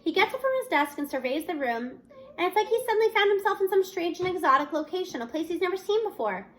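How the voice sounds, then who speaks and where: A woman's voice: extremely high pitch, lively intonation, quick pace. A woman reading a children's novel aloud expressively, narrating as a student in the first person. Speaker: audiobook-style reader.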